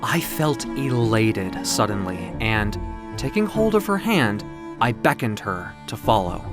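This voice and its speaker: A man's voice: lowish voice, sing-song, a little slow. A man voicing a proud, capricious ancient magical being in florid, theatrical storybook narration. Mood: elated. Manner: florid, theatrical, self-satisfied. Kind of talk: dramatic first-person storybook narration. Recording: music behind the voice. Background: music.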